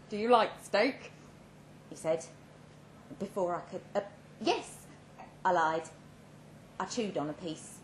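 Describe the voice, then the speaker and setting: Woman, dramatic narration, measured pauses, slow pace, roomy venue, faint background noise. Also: deep voice. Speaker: story reader. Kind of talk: dramatic first-person narration. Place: live reading event in an echoing room.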